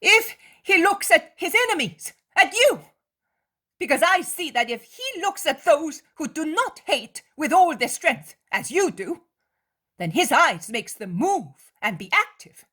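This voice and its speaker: A woman's voice: high-pitched, sing-song, a little slow, full character voice. A woman voicing an anguished male disciple who is torn by guilt and speaks with passion. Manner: impassioned, shouting.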